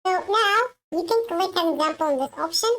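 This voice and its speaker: A tutorial presenter speaking through a pitch-raising voice changer as a squeaky baby voice, casually demonstrating. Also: lively intonation, brisk, sharp tone, heavily acted. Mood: matter-of-fact.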